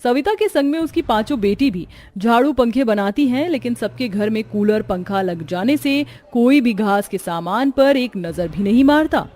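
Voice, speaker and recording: A woman's voice: fairly high pitch, expressive, normal speed, hardly any pauses, a little mellow, dry recording. A woman reading a scripted dramatic story as its narrator.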